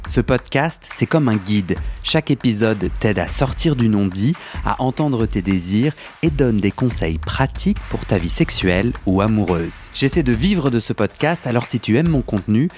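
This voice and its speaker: A man's voice: medium pitch, darkish tone. A man hosting a podcast, reading his intro and then interviewing a guest, summing up the guest's story with many fillers. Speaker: podcast host.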